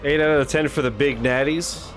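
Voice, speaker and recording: A man's voice: on the high side, fairly even intonation, moderate pace, soft background music. A man hosting a reaction video in casual, slangy, sweary chat.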